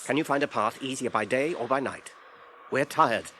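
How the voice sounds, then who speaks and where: An actor playing an earnest, firm, burdened hobbit in a radio drama, speaking in a measured, commanding way. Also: male voice, medium pitch, pushed and bright, close to an everyday voice. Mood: stern, calm. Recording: old radio drama, faint hiss.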